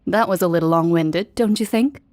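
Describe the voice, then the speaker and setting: A woman voicing a composed, serious duelist in a game, calm and precise. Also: fairly low pitch, a little fast, no echo, natural voice. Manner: calm, precise, matter-of-fact.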